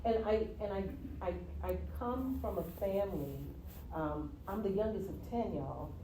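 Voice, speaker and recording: A woman from the US Virgin Islands, a guest telling her story in a casual interview, expressive and quick to laugh. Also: mid-range voice. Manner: expressive, laughs easily, repeats "yeah". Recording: roomy, from a distance, faint noise.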